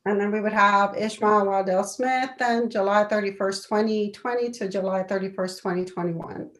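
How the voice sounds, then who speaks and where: A woman council member reading a formal committee report aloud, halting now and then to check and correct details. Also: mid-range voice, steady tone. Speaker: city council member presenting committee appointments. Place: local government meeting.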